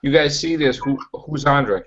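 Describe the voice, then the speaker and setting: A man hosting a casual web show, talking in an emphatic, pushy and animated way, on a muffled lo-fi recording. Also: mid-range voice, measured pace. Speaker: host.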